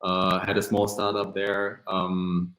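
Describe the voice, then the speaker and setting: Male founder, lowish voice, echoey room, halting. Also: monotone, a bit bright. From Germany. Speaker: startup founder.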